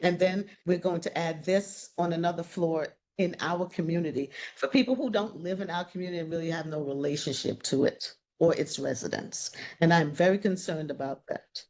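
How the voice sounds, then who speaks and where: Earnest, low-voiced woman. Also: moderate pace, hardly any pauses, darkish tone. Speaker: community member. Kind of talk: public comment at a meeting.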